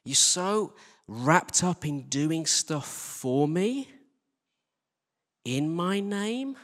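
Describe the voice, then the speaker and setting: A man's voice: on the high side, fairly bright tone. A man preaching a conversational sermon, emphatic, asking rhetorical questions and repeating phrases for stress. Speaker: church team leader preaching. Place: large reverberant indoor church space. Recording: long-reverb church hall sound.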